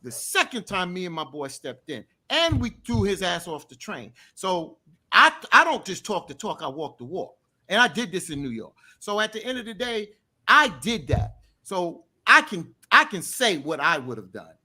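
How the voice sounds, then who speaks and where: A man with a deep, bass-heavy voice, talking emphatically in a casual group discussion and telling stories from his own life. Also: big pitch swings, normal speed, pushed and bright. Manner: emphatic, storytelling, repeats himself for emphasis.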